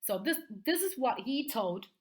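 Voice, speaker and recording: A woman's voice: high-pitched, very animated, moderate pace, darkish tone. A woman with an Italian accent teaching in an explanatory video lesson, often checking in with short tags at the end of phrases. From Italy.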